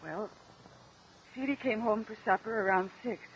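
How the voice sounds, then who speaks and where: An actress playing a weary, grieving widow giving testimony in a radio courtroom drama. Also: female voice, mid-range voice, normal speed, a little hiss or hum, close to an everyday voice, poor audio. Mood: weary, sorrowful. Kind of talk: old-time radio courtroom drama acting.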